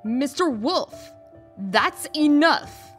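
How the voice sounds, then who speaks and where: A woman playing a composed, businesslike official in a comic dub, with a measured manner. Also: sits high, lively intonation, faint music behind, exaggerated voice. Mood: scolding.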